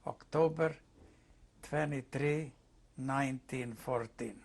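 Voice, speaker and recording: An elderly man in his eighties speaks English with a Hungarian accent. He talks very slowly and haltingly, pausing to find the right words. His voice sits at an ordinary pitch for a man. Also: vocal fry. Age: 80s. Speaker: interviewee. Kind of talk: oral history testimony.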